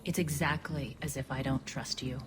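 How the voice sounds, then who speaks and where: A woman's voice: a bass voice, steady tone, moderate pace, music in the background. An actress playing a principled, wary female militia leader in a video game, firm, dry and challenging.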